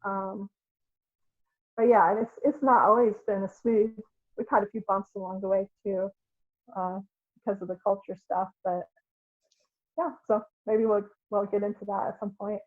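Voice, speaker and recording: A woman in her forties speaks earnestly on a podcast, pausing often with ums as she gathers her thoughts. Her voice comes through a thin, tinny, phone-like line. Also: mid-range voice, little pitch movement. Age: 40s. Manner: hesitant, earnest, many ums. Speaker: podcast co-host. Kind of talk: casual podcast chat.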